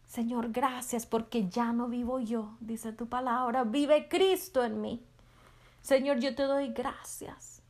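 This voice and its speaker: A woman leading a fervent spoken prayer with rhythmic repeated invocations, at times dropping to a soft near-whisper. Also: on the high side, fairly even intonation, takes it slowly. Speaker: prayer leader.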